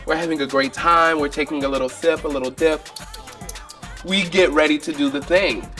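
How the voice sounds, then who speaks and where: A man's voice: fairly high pitch, fairly even intonation, moderate pace, sharp tone, faint music behind. A man, casually recounting events as a reality show cast member. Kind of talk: confessional recounting.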